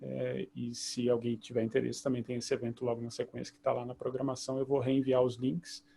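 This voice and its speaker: A man hosting an online meeting, explaining things in a relaxed, loose way over a video call. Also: mid-range voice, brisk, vocal fry, slight background noise. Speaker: host.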